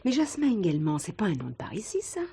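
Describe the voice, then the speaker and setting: An actress playing a friendly, inquisitive novelist on holiday, politely eager for answers, in a television play. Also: female voice, mid-range voice, very animated, fast, vocal fry, dark tone, slightly rough, exaggerated voice. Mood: probing, curious. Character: curious, friendly holidaying woman novelist digging for secrets. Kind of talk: 1970s French television play dialogue.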